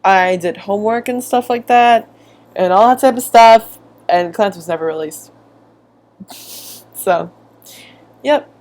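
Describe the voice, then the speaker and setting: A woman's voice: mid-range voice, slow, long pauses. A woman giving casual, sarcastic reaction commentary, often acting out what others say. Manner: sarcastic, animated mimicking. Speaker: video commentator.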